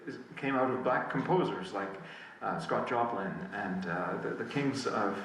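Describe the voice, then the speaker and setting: A man's voice: fairly low pitch, a little slow, creaky, background noise. A man giving a casual spoken introduction as a musician about to perform a song. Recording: very echoey room, distant mic, music.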